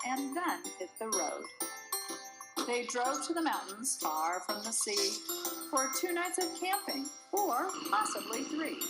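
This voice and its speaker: Lively higher-pitched woman reading a storybook. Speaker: storybook reader. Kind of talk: expressive read-aloud of a rhyming children's picture book.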